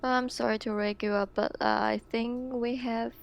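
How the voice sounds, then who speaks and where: A woman's voice: on the high side, little pitch movement, moderate pace, vocal fry. A woman playing a tabletop game over a voice call, giggling often and restarting her sentences. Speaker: player.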